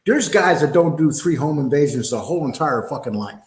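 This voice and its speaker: A man's voice: a little high, animated, a little fast, reverberant, slight background noise. A middle-aged man giving casual, mocking commentary as a show co-host, sarcastic and prone to swearing. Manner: sarcastic, profane.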